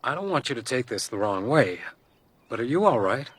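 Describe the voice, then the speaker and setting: A man's voice: mid-range voice, very animated, fairly quick, heavy background noise, lightly acted. A man acting a guarded, wary wilderness guide in a radio drama, speaking in a terse, understated, natural way. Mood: guarded, irritated. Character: guarded, wary male wilderness guide, older than his companion.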